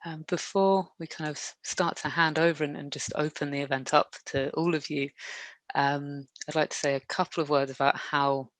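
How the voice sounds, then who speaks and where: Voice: medium pitch, fairly even intonation, fairly bright tone, no room sound, poor audio. Polite academic host on a video call. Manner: measured, polite, with many "um" fillers. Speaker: workshop chair and co-organiser. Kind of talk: hosting an online academic event.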